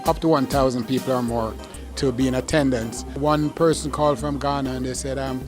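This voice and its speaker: A man speaking as an awards organizer in a news clip, earnest and halting, with fillers. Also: medium pitch, fairly even intonation, over music.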